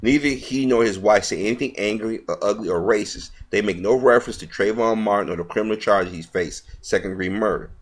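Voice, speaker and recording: A man with a fairly low voice delivers online news commentary. He reads aloud with some stumbles over the words, and his manner is earnest and opinionated. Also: brisk, hardly any pauses.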